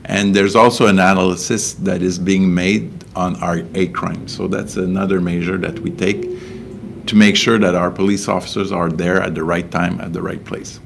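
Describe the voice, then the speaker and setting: A low-voiced Québécois man speaks as a police official at a press briefing. His voice has a gravelly creak, and his delivery is measured and hesitant. Some noise sits behind him. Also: little pitch movement. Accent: Québécois French. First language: French. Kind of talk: press conference answers.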